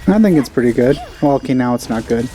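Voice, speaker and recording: A man's voice: mid-range voice, expressive, quick pace, mellow, soft background music. A man hosting a let's play, commenting casually in a dry, musing way.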